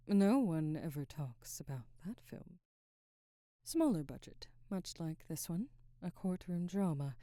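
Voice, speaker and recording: A woman acts out a proud, guarded screen actress in middle age for an ASMR drama. Her voice is low, mellow and breathy, often close to a whisper. She speaks slowly in measured, formal phrases, and the sound is very dry and intimate. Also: dry recording. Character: haughty, guarded middle-aged Hollywood actress, softening. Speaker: ASMR voice actor. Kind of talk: ASMR roleplay, scripted dramatic monologue.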